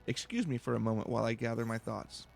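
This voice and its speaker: A man's voice: mid-range voice, quick pace, gravelly, darkish tone, close to an everyday voice. A man voicing a proud, nervous female scholar from a fantasy game, formal and prone to stammering. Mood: flustered.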